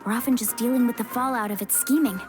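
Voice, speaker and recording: Young warrior woman for a game, female actor: casual, supportive, high-pitched, music underneath. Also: fairly even intonation, fast, natural voice. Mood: earnest. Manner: casual, encouraging, plain-spoken. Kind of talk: video game voice acting. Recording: music behind the voice.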